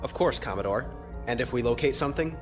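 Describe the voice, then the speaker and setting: A man's voice: medium pitch, lively intonation, fast talker, over music, natural voice. A man playing a deferential, earnest junior lieutenant in a space audio drama, speaking respectfully and a little tentatively.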